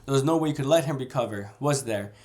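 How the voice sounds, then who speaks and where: A man with a cold reading aloud the steady, reassuring lines of a seasoned, scarred male dinosaur creature from a novel. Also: mid-range voice, fast talker, lightly acted. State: congested from a cold.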